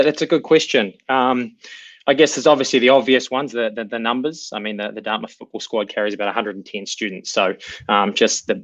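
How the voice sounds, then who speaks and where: A man from New Zealand with a New Zealand accent, an assistant coach answering interview questions in a relaxed, thoughtful way. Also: mid-range voice, dry recording, lo-fi sound. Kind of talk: remote interview.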